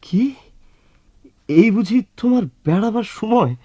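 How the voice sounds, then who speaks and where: An actor playing an overwrought, remorseful husband and father in a radio drama, halting and repeating his words. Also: sits high, lively intonation, dark tone, heavily acted. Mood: indignant, agitated. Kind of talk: Bengali radio drama acting. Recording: dull lo-fi radio recording, faint music.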